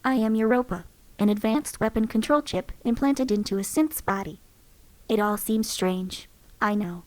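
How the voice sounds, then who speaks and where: Female synth AI companion, a weapon-control chip in a synthetic body, cool and self-assured; voiced by a woman, higher-pitched, dry and close, measured game lines. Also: no room sound, close to an everyday voice, low-quality recording. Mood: calm. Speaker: voice actress for a companion character. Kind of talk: game character voice lines played back.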